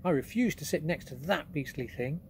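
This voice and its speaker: Man putting on a polite little girl, slightly raised pitch, earnest storybook voice. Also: lively intonation, moderate pace, vocal fry, slight background noise. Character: small polite young girl.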